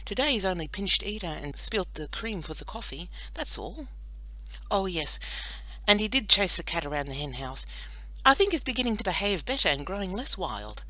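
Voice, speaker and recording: A woman's voice: mid-range voice, very animated, moderate pace, bright, forceful tone, no room sound, pitched above the performer's own voice, poor audio. A woman voicing an earnest, protective farm mother who frets over her child in a storybook reading. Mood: defensive. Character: worried, protective farm mother of a naughty boy.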